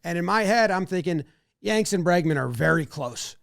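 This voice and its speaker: A man's voice: high voice, lively intonation, moderate pace, gravelly, no room sound, clean studio sound. A man co-hosting a podcast, chatting casually about sports, rambling and second-guessing himself. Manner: rambling, self-doubting, acts out what he thought. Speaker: podcast co-host.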